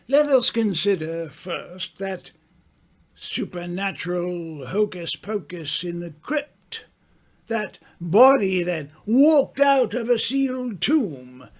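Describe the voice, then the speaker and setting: Man playing smug, theatrical gentleman sleuth, high-voiced and sweeping. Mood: confident, expository. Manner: theatrical, deliberate, drawn-out accusing delivery. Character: suave, smug gentleman sleuth unmasking murderers. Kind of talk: old-time radio mystery acting.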